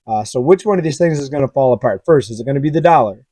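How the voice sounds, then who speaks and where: A man with a hoarse, raspy voice giving casual commentary on economic charts and talking straight to his viewers. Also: mid-range voice, animated, fast talker, dark tone, dry recording, studio quality. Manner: conversational, asks viewers directly, many fillers. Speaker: finance YouTuber.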